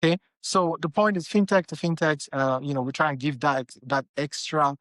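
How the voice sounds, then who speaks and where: A man's voice: a little high, normal speed, fairly bright tone, no room sound, clean studio sound. A man from Nigeria, a company founder giving casual money advice and often checking in with his listeners. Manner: conversational, checks in with "okay?" and "right?".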